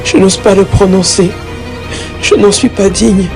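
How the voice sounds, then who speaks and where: A woman's voice: mid-range voice, steady tone, measured pace, close to an everyday voice. Woman acting guilt-ridden young daughter over music. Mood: remorseful. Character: troubled young daughter, guilt-ridden, repentant, fearful of death. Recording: background music under the voice.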